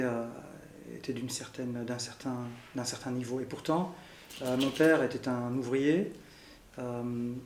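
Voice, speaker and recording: A man's voice: mid-range voice, darkish tone. Thoughtful man, echoey room. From Belgium. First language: Arabic. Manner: thoughtful, with many "euh" pauses and listing. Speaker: interviewee. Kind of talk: reflective personal testimony.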